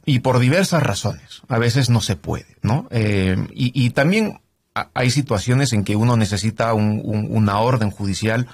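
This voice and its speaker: A middle-aged man from Canada talks through his work as a trial lawyer, stopping often on fillers and tag questions. His voice sits low and husky, and the sound is dry and close. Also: normal speed, no echo. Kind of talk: conversational interview.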